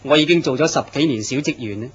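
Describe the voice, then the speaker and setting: An earnest, courteous office man, voiced by a man in an old-style horror radio play. His voice is mid-pitched and plain. The recording sounds dull and lo-fi. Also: quick pace, lightly acted. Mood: polite. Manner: courteous and earnest, turns startled when threatened. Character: polite, earnest office man, a deputy manager. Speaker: actor in a horror radio drama. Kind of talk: radio drama acting.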